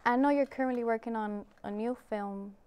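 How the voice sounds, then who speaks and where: A woman's voice: a little high, fairly quick, a little mellow, smooth. A woman interviewing an actor, asking short, direct questions.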